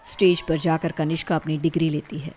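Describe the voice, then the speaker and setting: Low-pitched woman story narrator. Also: level tone, brisk, a little mellow, some chatter behind, slight background noise. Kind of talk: scripted cartoon horror story narration.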